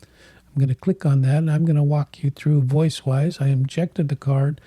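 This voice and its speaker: A man hosting a tech channel, thinking aloud in halting bits while he clicks through software, with a voice that is giving out. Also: a little high, steady tone, no room sound. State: losing his voice. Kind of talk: casual screen walkthrough.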